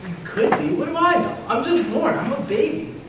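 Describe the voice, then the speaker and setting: Woman playing a cocky newborn baby, animated, echoey hall. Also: high-pitched, a little fast. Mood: cheerful, chatty. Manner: blustering, know-it-all, wisecracking. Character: cocky, stubborn newborn baby, sure it's a girl. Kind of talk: readers theater comedy dialogue on stage. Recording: echoey hall, far mic, clear noise.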